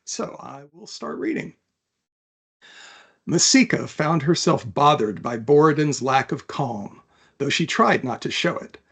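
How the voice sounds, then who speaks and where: A man, an author, talking about and reading from his own fantasy novel in a thoughtful, measured manner. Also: on the high side, very animated, vocal fry, fairly bright tone, breathy edge. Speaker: author, interview guest.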